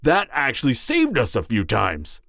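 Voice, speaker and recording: A man's voice: mid-range voice, wildly swooping pitch, moderate pace, creaky, fairly bright tone, dry recording, studio quality, full character voice. A man playing an anxious, awkward, slightly drunk part-giant mercenary in an intimate fantasy audio roleplay, hesitant and stammering. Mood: troubled, conflicted. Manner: hesitant, stammering, trailing off, sighing.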